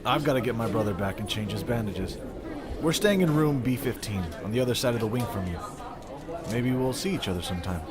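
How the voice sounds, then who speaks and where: A man's voice: mid-range voice, expressive, fairly quick, music in the background, a crowd in the background, lightly acted. A man playing an anxious, protective young older brother in a sci-fi audio drama, fretful and a little awkward. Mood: awkward, polite.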